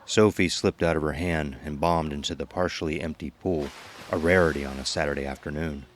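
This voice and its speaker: A man's voice: very deep voice, steady tone, brisk, a little hiss or hum. A man giving scripted narration of a horror story as its narrator. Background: music.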